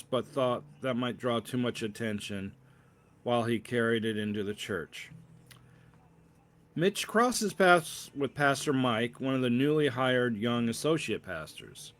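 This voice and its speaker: Male author-narrator, storybook reading, slightly halting, stumbles and corrects himself. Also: medium pitch, animated, fairly bright tone. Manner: halting delivery with misreadings and corrections. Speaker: author reading own novel aloud.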